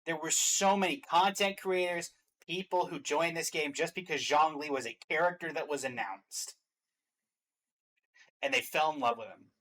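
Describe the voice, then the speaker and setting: A man with a fairly high voice gives casual reaction commentary on a game. He hosts in a chatty, emphatic style, sharing his opinions freely. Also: moderate pace, a bit bright, low-quality recording. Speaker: host of a reaction and ranking show.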